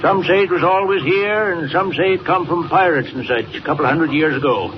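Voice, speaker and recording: A man acts out an old icebreaker captain for a 1940s radio serial. He is folksy and superstitious, with rustic dialect and a high-pitched voice. The sound is dull and thick with old broadcast noise. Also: fairly quick, close to an everyday voice. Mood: folksy, wary. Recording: muffled, very noisy 1940 radio recording.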